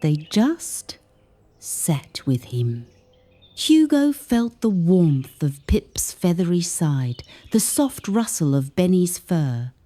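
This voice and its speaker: Casting: female children's storybook narrator, gentle and expressive, warm dark tone, slow pace, very animated melody. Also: on the low side. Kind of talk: scripted read-aloud children's story narration.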